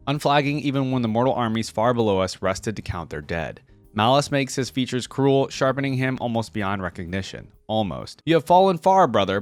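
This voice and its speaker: A man's voice: medium pitch, no room sound. Man reading and reacting, dry close sound. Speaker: YouTube channel host reacting to game lore. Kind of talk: reaction commentary and reading aloud.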